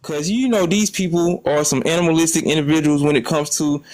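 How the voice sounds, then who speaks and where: A man taunts and provokes the others on a heated live debate panel, throwing out mocking threats. His voice sits a little high for a man. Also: steady tone, a little fast, darkish tone, rough recording. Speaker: panel speaker.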